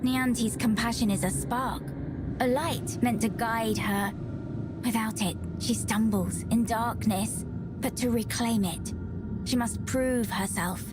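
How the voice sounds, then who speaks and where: An actress voicing a cryptic female ghost in a video game, speaking slowly and solemnly in riddles. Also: fairly high pitch, fairly even intonation. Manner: slow, solemn, riddling speech. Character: ghostly woman spirit, cryptic, aloof. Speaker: game character. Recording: game audio with loud music behind.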